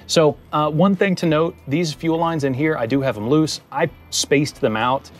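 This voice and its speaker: A man's voice: a little high, normal speed, soft background music. A man in his thirties, hosting his own car build channel, casually explaining his work with fillers and restarts, filmed by a nearby camera. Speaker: car builder and shop host. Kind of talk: casual how-to walkthrough. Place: outdoors under a truck, then a home garage.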